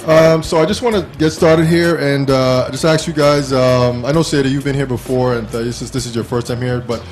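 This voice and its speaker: A man in his fifties hosts a casual talk show in a small studio. His voice sits at a medium pitch and his delivery is informal and halting. Soft music plays faintly behind him. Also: fairly even intonation. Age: 50s.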